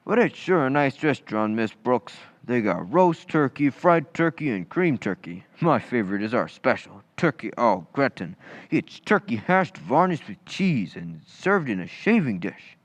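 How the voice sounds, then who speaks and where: A man's voice: mid-range voice, very animated, measured pace, dry recording. Man playing a swooping, dim, eager schoolboy. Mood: naively proud. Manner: folksy, ungrammatical, earnest gee-whiz delivery. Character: dim, eager, good-natured teenage schoolboy busboy. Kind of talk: old-time radio comedy acting.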